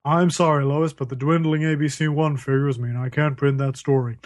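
Bloke doing a gruff-talking deadpan newspaper boss, defensive, spoof radio play, dry close sound. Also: male voice, on the high side, normal speed, no room sound, natural voice. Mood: deadpan, apologetic. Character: defensive, gutsy male newspaper editor, deadpan and self-loathing.

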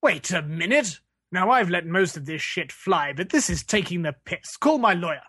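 A man's voice: high voice, expressive, moderate pace, sharp tone, slightly rough, dry recording, studio quality, voice pushed up, exaggerated voice. A man playing an exasperated, foul-mouthed God in a spoof radio play.

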